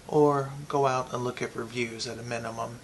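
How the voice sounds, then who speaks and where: A man giving a casual, unscripted product review, thinking aloud in a halting way with pauses. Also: medium pitch, flat delivery, a little hiss or hum, poor audio.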